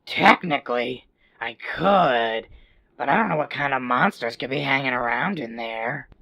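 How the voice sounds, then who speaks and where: A woman playing a polite, patient young ghoul girl with a croaky voice in a radio sitcom. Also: rumbling low, moderate pace, a bit bright. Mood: matter-of-fact.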